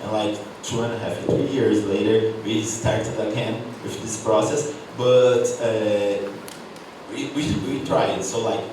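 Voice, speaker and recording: Halting male professor in echoey hall. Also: fairly low pitch, steady tone. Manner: halting, many fillers. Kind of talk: panel discussion. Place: university auditorium. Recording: echoey hall, distant mic, noisy.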